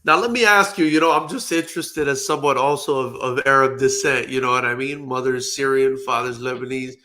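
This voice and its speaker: A man's voice: mid-range voice, a little fast, bright, forceful tone, slight background noise. A man hosting an interview, enthusiastic and rambling, with many fillers as he asks questions. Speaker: interview host. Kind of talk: casual interview.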